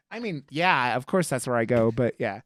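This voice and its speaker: A man's voice: mid-range voice, expressive, normal speed, fairly bright tone, slight background noise. A man chatting casually as a podcast co-host, with a crude, joking, rambling manner. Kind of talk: casual comedic podcast chat.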